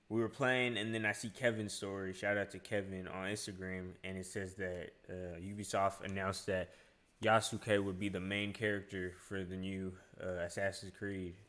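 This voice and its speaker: A man's voice: low-pitched, a bit bright. A young man who grew up in Asia, hosting a casual reaction video and chatting in a laid-back, slangy way. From grew up in Asia (Hong Kong, UAE, India). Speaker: YouTuber reacting to a trailer.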